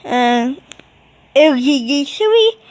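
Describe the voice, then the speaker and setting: A woman talking casually in a halting, rambling way, with mumbled phrases, as in an improvised home video. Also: high voice, lively intonation, darkish tone.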